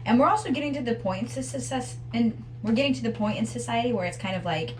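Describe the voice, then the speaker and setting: A woman's voice: on the high side, expressive, quick pace, echoey room. Young woman chatting over background noise. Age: 20s. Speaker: vlog co-host. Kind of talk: casual chat to camera.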